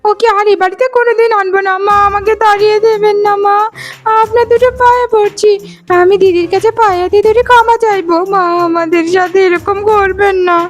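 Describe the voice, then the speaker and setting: An extremely high-pitched young daughter-in-law voiced by a woman in a cartoon moral tale. She is greedy and scheming, with a whiny, theatrical delivery. Soft music sits behind the voice. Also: steady tone, a little fast. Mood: pleading. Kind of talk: Bengali animated moral-story voice acting.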